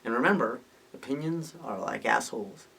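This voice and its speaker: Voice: mid-range voice, animated, moderate pace, gravelly, fairly bright tone, breathy edge, low-quality recording. A podcast host giving a casual, unscripted opinion monologue, rambling and thinking aloud with rhetorical questions. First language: Hungarian or English, multilingual.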